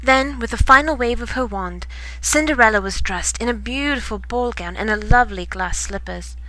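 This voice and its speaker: A woman reading a children's bedtime story in expressive storybook narration. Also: a little high, moderate pace, no room sound, low-quality recording.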